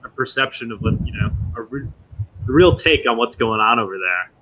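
A man in his forties hosting a podcast interview over a video call, asking friendly, halting questions with fillers. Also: medium pitch, bright, forceful tone, slight background noise, low-quality recording. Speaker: podcast host.

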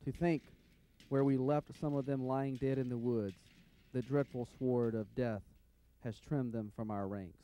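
Male actor as young Confederate soldier, very dark, soft-edged tone, somber letter reading, faint noise behind. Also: medium pitch, steady tone, unhurried, close to an everyday voice. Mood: somber, grieving. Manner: somber, reflective. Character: sorrowful young Confederate soldier writing home. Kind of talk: dramatic reading of wartime letter.

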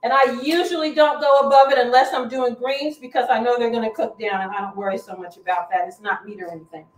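Middle-aged woman, cooking show host, high voice, chatty how-to, roomy kitchen, far from the camera. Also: fast talker. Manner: chatty and instructive, talks while cooking. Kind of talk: live cooking demonstration. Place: home kitchen, indoors. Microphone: far camera across the kitchen.

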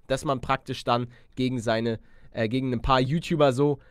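A young man giving casual, slangy video game commentary as a let's play host, animated and chummy with his viewers. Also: medium pitch, normal speed, smooth, no room sound.

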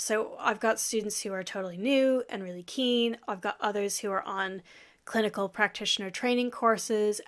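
A woman in her thirties with an American accent, a guest herbalist chatting casually on a video call from a home office, laughing often as she explains. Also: mid-range voice. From lives in Yorkshire, UK. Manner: chatty, laughs often, gestures while talking. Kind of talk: casual interview chat. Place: home office with framed flower print.

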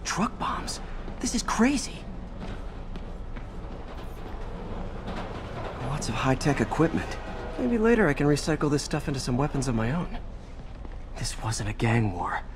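An American man playing an earnest, quick-witted young masked superhero in a video game, in a conversational way. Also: medium pitch, animated, noisy background, natural voice. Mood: alarmed.

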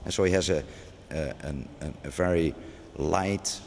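A man's voice: extremely low pitch, measured pace, vocal fry, darkish tone. A middle-aged man, a museum curator talking off the cuff, hesitant with many restarts and fillers, recorded inside a large gallery. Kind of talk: unscripted gallery interview. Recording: long gallery reverb, faint background noise.

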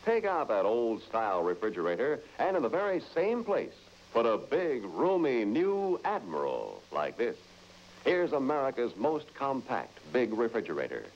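Very animated male vintage pitchman, muffled recording. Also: moderate pace. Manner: emphatic, selling delivery. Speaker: commercial announcer. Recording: muffled, noisy old broadcast recording.

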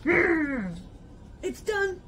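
A woman's voice: very high voice, sing-song, drawn-out words, fairly bright tone, faint music behind. A young woman vlogging casually at home, chatty and bubbly with a self-mocking streak. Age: young adult. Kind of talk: casual vlog chat. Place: living room at home. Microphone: phone camera at arm's length.

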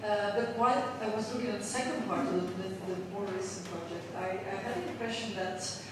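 A woman's voice: mid-range voice, a little fast. Woman questioner in a reverberant hall. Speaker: audience member or panelist asking a question. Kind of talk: spoken question and comment. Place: lecture room. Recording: echoey hall, distant, noisy.

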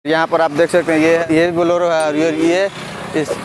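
A young man vlogging at an outdoor used-car lot on a lapel mic, giving a brisk, repetitive sales-style rundown. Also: a little high, flat delivery. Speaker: vlogger showing used cars. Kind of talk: casual on-camera presentation. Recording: outdoor traffic noise behind.

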